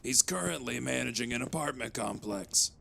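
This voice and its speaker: Man voicing a very gravelly senior police detective. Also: medium pitch, expressive, moderate pace, background noise. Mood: quiet, confiding. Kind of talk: anime dub acting.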